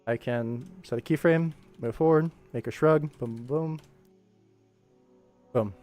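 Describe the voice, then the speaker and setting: Male animator-streamer, mid-pitched, informal and explanatory, chatting to viewers with music underneath. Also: slow pace, darkish tone. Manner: informal, addresses viewers, narrates actions with sound-effect words. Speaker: animator and livestream host. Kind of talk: casual work-stream chat with tutorial explanation.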